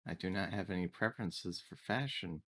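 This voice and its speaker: A man voicing a dutiful, emotionless android bodyguard in a tabletop game, with formal, flat, literal phrasing. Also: low voice, normal speed.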